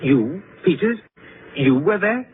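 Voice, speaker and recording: A man's voice: high-pitched, sing-song, slow pace, exaggerated voice. An actor playing a pompous, patronizing intellectual clubman who lectures emphatically in an old radio drama. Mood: curious. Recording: tinny, hissy old radio recording.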